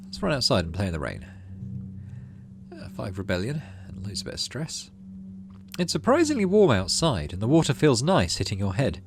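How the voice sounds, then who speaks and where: Lively low-voiced man doing a let's play. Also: normal speed, a little hiss or hum. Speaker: gaming channel host. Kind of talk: let's play, reading game text aloud with casual commentary.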